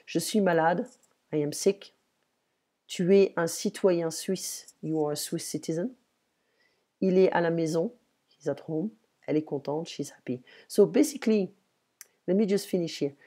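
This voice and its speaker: Slow, low-voiced woman teaching French. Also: dark tone. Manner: switches between French and English, slow and explanatory. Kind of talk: online language lesson.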